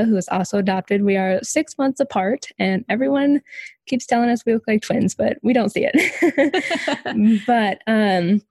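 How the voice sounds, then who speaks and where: A woman's voice: mid-range voice, a little mellow, dry recording. A young woman, a podcast guest, tells her life story casually, with many filler ums and light laughs. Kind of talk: personal story in an interview.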